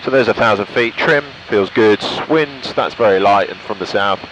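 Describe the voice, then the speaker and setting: A man's voice: on the low side, normal speed, a bit bright, low-quality recording. A man pilot giving casual, self-critical, explanatory flight commentary and short radio calls. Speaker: pilot and vlogger. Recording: engine noise in light aircraft. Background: aircraft engine hum.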